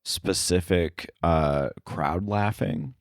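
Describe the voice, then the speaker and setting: A man talking casually as an interview guest, halting often and correcting himself as he goes. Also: low-pitched, no room sound, studio quality. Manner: halting, filler-heavy, self-correcting. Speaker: podcast guest, sound editor.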